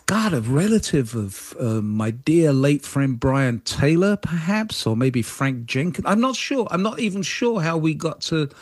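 Male radio host, animated pitch, meandering and hesitant delivery, dry studio. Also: a little slow, hardly any pauses, no room sound. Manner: rambling, hesitant, with repeated words. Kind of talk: radio interview.

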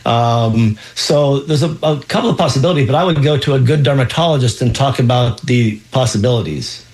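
A man at a middling pitch hosts a call-in health show, answering listeners like a practising doctor. He explains at length, filling gaps with ums and circling back to restart his sentences. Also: brisk, darkish tone, rough recording. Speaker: radio health show host and physician. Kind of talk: call-in radio advice.

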